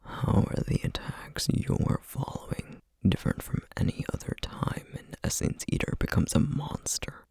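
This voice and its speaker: A man playing a menacing, distrustful feral essence eater in an ASMR roleplay, speaking in a breathy, husky near-whisper with slow, threatening deliberation. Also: darkish tone, no room sound, clean studio sound, lightly acted. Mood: tense, brooding. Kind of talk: ASMR roleplay audio drama.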